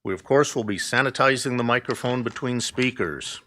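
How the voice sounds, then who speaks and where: A man's voice: medium pitch, normal speed, bright, forceful tone. A man, the club president, welcoming members at the start of a meeting and speaking into a podium microphone. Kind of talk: opening remarks at a club meeting.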